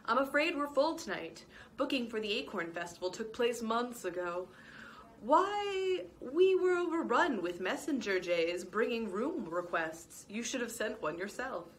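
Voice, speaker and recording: A woman's voice: on the high side, animated, a little slow, a little hiss or hum, pitched above the performer's own voice. A woman playing a fussy, polite lizard clerk. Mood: polite eager. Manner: formal, eager, chatty.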